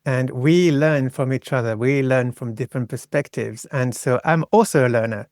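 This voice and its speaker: A man's voice: mid-range voice, moderate pace, a little mellow, no room sound, studio quality. A middle-aged man from France with a French accent, speaking reflectively and explaining his thoughts in a conversational interview. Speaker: podcast guest, psychosexual psychotherapist.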